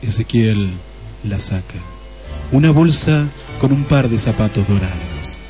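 A man's voice: lowish voice, expressive, a little slow, mellow, faint music behind. An actor playing the narrator of a comic detective radio play, a man reading scripted storytelling in Spanish.

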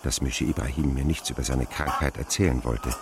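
A man's voice: a bass voice, fairly even intonation, a little fast, background noise. An actor playing a wry, reflective male narrator who recalls his boyhood in a radio play. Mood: musing.

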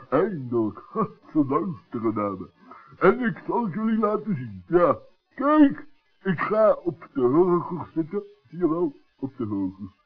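Flustered talking animal, high swoopy slow voice, old kids radio play. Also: male voice. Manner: flustered, swooping, jumbles words. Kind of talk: 1960s children's radio play acting. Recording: tinny old radio recording with music.

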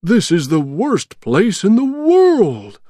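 A man voicing a defiant, indignant old Father Christmas figure in a storybook, loud, emphatic and protesting. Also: sits high, wildly swooping pitch, takes it slowly, no echo, clean studio sound, pitched above the performer's own voice, full character voice. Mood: indignant despair.

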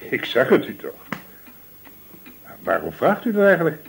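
Callous landlord, very lively intonation. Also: male voice, on the high side, measured pace, creaky, a little hiss or hum, full character voice. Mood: evasive. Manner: disdainful, evasive, complaining. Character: callous, gossipy, self-justifying male landlord. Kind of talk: Dutch radio play dialogue.

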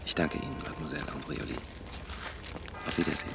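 Very deep-voiced, courteous young inspector. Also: male voice, animated, fast, gravelly, music in the background, full character voice. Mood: polite, restrained. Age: young adult. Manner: courteous, formal. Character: polite, formal young male police inspector. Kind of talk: radio play dialogue.

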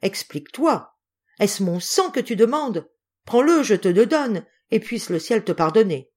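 A woman's voice: fairly low pitch, lively intonation, normal speed, mellow. A woman voicing an anguished young wife and mother, desperate and theatrical, in a dramatic story reading. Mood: anguished pleading. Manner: impassioned, pleading, theatrical.

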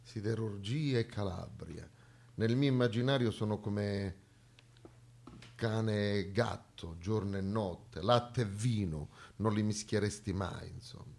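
Male stage monologuist, lowish voice, emphatic and rhetorical delivery. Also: creaky, a little mellow. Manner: emphatic, rhetorical, with dramatic pauses. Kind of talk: theatrical monologue. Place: theatre stage, live performance.

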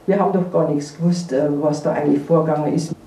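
A middle-aged or older person speaks hesitantly and off the cuff. The voice is mellow and sits away from the microphone in an echoey room with faint noise. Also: mid-range voice, steady tone, fast, creaky, far from the mic. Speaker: member of the wartime generation giving opinions. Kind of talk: spontaneous personal remarks.

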